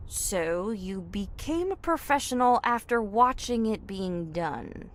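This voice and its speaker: Timid, wistful young maid. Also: female voice, medium pitch, animated, slow pace, a bit bright. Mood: teasing. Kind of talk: video game cutscene acting.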